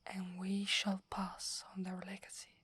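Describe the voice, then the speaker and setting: A woman's voice: mid-range voice, monotone, measured pace, bright, forceful tone, heavily acted. A woman with an Italian accent voicing a devoted young female werewolf who defers to her alpha, in a whispered ASMR roleplay. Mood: earnest, reverent. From Italy. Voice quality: breathy whisper. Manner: hesitant, reverent, submissive. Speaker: voice actress.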